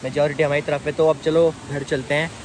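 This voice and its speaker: A man's voice: fairly high pitch, little pitch movement, a little fast, crowd noise. A young man in his twenties vlogging outdoors on a hillside trail, joking and teasing his friends into a phone held at arm's length. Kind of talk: casual travel vlog.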